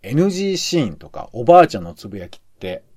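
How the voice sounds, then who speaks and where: A man's voice: medium pitch, big pitch swings, fast, a little mellow, no room sound, clean studio sound. A man co-hosting a casual radio-review podcast, reflectively piecing his thoughts together in short phrases. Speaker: podcast co-host. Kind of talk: casual discussion of radio shows.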